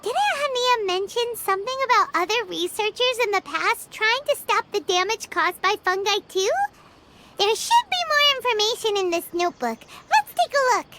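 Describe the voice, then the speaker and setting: A woman voicing a small, excitable, childlike fairy companion in an anime game, squeaky and exclamatory. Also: moderate pace, heavy background noise. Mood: determined, curious. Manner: speaks of self in third person, exclamatory. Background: music.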